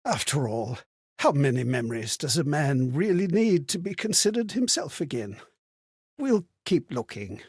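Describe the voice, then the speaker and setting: An actor playing a frail, forgetful old man in a video game, anxious and kindly, with a hesitant, plaintive delivery and a husky, breathy voice. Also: on the high side, very animated, normal speed, darkish tone, no echo, clean studio sound, heavily acted. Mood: disappointed, resigned. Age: older. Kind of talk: theatrical video game character acting.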